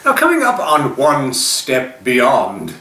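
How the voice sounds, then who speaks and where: A man's voice: mid-range voice, wildly swooping pitch, takes it slowly, pushed and bright. A man casually presenting film trivia as host of a retro TV show. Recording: roomy, distant mic with faint noise.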